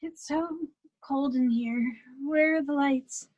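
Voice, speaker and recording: A woman's voice: sits high, slow pace, a little mellow, no room sound. A young woman acting a timid, torn young woman in love in a stage melodrama, hesitant and earnest. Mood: hushed, bleak. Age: young adult. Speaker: student actor. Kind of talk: stage acting in a one-act period melodrama.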